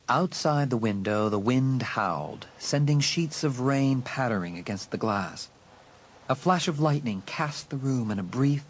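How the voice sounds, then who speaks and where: A man narrating a horror audiobook in a dramatic, suspenseful way with deliberate emphasis. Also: medium pitch, rough recording.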